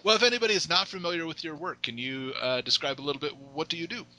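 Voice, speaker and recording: A man's voice: a little high, fast, sharp tone, a little hiss or hum, poor audio. A man hosting a podcast interview, casual and playful, slipping jokes and silly asides into his questions. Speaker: podcast host.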